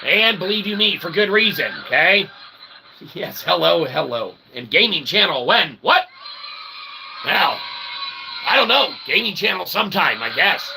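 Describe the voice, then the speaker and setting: A man's voice: high-pitched, animated, measured pace, gravelly, noisy background. A man hosting a rambling comedic livestream in a self-deprecating mock-showman manner, often tagging lines with questioning little catchphrases. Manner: repeats tag phrases like "okay?" and "eh?". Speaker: livestream host.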